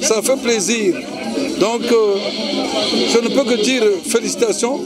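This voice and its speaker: A man records rambling remarks to a camera at an event. His voice is high for a man. A loud crowd and music play behind him. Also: a little slow. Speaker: conference attendee.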